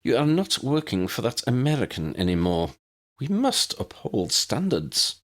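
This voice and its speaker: Give the storybook a stern, elderly head teacher woman, played by a man. The voice is pitched high and swoops as it pronounces. It is brisk, formal and dryly wry. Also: huge pitch swings, fairly bright tone. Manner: proper, schoolmistressly, pronouncing.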